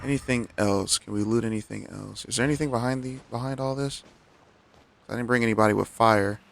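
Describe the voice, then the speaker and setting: A man's voice: mid-range voice, fairly quick, gravelly. A man streaming a video game, reacting casually in informal, slangy speech.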